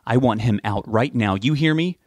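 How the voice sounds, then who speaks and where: A man voicing a hot-tempered, stubborn older husband in an audiobook, yelling and indignant. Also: mid-range voice, expressive, moderate pace, dry recording, close to an everyday voice. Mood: furious yelling.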